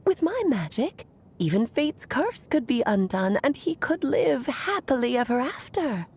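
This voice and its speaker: Female storybook magician, game drama, fairly high voice, melodic swoops, whimsical, affectionate, musing, music underneath. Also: big pitch swings, a little mellow, breathy edge, full character voice. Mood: pleading. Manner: theatrical, musing, drawn-out phrasing. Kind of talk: dramatic video game voice acting.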